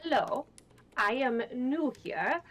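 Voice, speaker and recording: A woman with a fairly high voice introduces her character at a tabletop game. She speaks in a careful, measured way. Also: lively intonation, a bit bright, slight background noise. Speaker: player.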